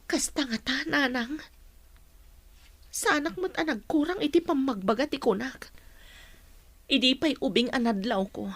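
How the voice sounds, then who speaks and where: A woman's voice: high-pitched, unhurried, pauses often, a bit bright, no room sound, heavily acted. A woman playing a caring, long-suffering middle-aged mother in a radio drama. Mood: sad, resigned.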